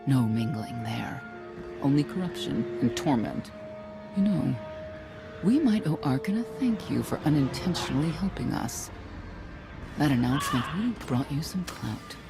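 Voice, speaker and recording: A world-weary older warrior woman in a video game. The low voice has a creaky edge and a grave, blunt delivery, with music behind. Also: big pitch swings, normal speed, full character voice. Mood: grim. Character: stern, world-weary older female knight.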